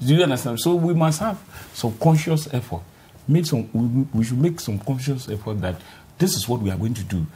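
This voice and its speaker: Emphatic panelist, halting starts. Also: male voice, mid-range voice, animated, mellow. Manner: hesitant starts then emphatic, rising when pressed. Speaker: importer on a panel. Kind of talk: panel discussion.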